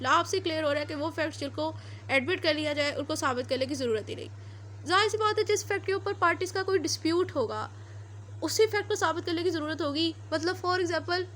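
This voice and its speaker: A woman with a Pakistani accent and a very high voice gives an explanatory lecture. She talks informally, goes back over her points and mixes in English terms. There is faint noise behind her. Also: fairly bright tone. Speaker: law lecturer. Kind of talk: explanatory lecture with everyday examples.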